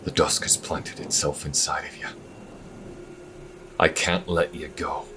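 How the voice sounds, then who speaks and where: A man's voice: very deep voice, level tone, measured pace. A man playing a stern, devout church keeper, anguished and reproachful toward his lover in a fantasy audio drama. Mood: grim resolve. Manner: grave, reproachful, emotional. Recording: rain and thunder noise behind.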